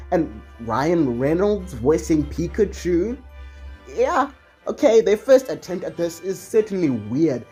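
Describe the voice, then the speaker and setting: A video essayist with a slightly husky voice, delivering scripted movie commentary in a conversational way with casually wry asides. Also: medium pitch, dark tone.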